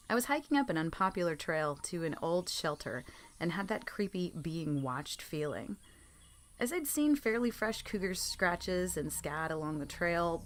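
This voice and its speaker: A woman's voice: fairly low pitch, slight background noise. A woman reading scripted true scary stories as a storytelling narrator.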